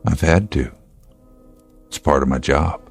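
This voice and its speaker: Very deep-voiced, steady state trooper. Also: male voice, vocal fry, faint music behind, full character voice. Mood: dry, wry. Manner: measured, laconic, dryly wry. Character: gruff, steady male state trooper, wryly humorous, protective. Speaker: actor in a radio drama. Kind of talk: naturalistic radio drama acting.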